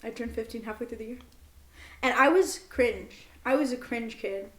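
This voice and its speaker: A woman's voice: a little high, normal speed. A young woman co-hosting a podcast, chatting casually and giggling in a goofy, self-mocking way. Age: young adult. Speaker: podcast co-host. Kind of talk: casual chat between best friends, with bits of journal reading. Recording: roomy, echoey indoor sound.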